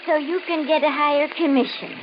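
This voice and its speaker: An actress playing a pushy, sharp-tongued, suspicious house buyer in an old-time radio horror play, theatrical in delivery. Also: female voice, sits high, fairly even intonation, fairly quick, natural voice. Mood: accusing. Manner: accusing, theatrical. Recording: noisy, muffled old radio recording.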